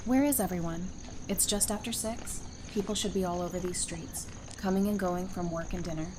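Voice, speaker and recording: A woman's voice: fairly low pitch, fairly even intonation, normal speed, mellow, lightly acted. Woman playing a friendly young survivor wife, humming background noise. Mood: puzzled. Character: friendly, playfully grumbling young married woman in a survivor community. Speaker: voice actor in audio drama. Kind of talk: fan audio drama dialogue. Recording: home recording with clear background hum.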